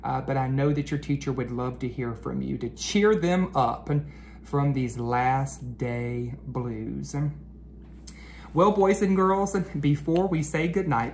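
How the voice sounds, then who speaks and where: A man hosting a children's read-aloud, speaking expressively to young listeners with drawn-out storybook phrasing, as an educator. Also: fairly high pitch. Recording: noticeable background noise.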